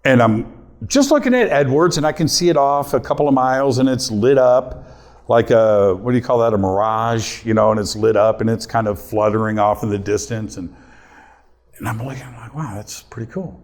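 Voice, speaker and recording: A middle-aged man speaks to a live audience in a voice of ordinary middle pitch. He tells his story with animation and acts out the lines he quotes. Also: normal speed. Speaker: radio host giving a talk.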